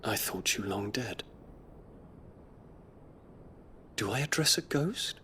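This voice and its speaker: Commanding young fantasy game prince, forceful bright tone, lowish pitch, formal, earnest, troubled. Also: male voice, expressive, normal speed, a little hiss or hum. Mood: guarded, courtly. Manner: formal, lofty, earnest speech. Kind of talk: dramatic video game cutscene acting.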